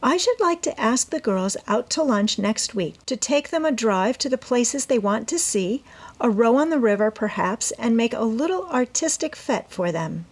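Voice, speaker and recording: A genteel teenage girl, played by a woman reader, speaks in a lifted pitch with an airy, important air. She is self-assured and sweetly persuasive, a young lady of elegant manners from a classic storybook. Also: expressive, normal speed. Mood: confident.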